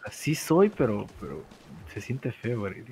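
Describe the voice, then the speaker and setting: Male gamer, casual and slangy, very lively intonation, voice chat mic with clear background noise. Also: fairly low pitch, a little slow. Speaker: gamer in a group play session. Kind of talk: casual chat while gaming. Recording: clearly noisy voice chat recording.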